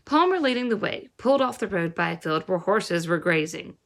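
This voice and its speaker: A woman storyteller reading a children's novel aloud in an expressive style. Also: fairly low pitch, fairly quick, gravelly. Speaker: reader, teacher-like storyteller.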